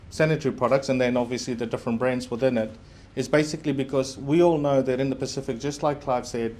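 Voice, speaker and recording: A middle-aged man from Fiji and New Zealand speaks as a founder presenting his product. His pitch is average for a man, and his delivery is candid and conversational. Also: darkish tone. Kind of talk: informal public presentation.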